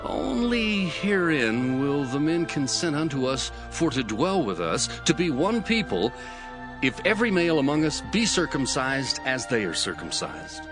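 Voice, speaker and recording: A man's voice: fairly high pitch, very animated, a little slow. An actor playing an older male chieftain pleading persuasively in a dramatized scripture reading. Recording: music bed behind voice.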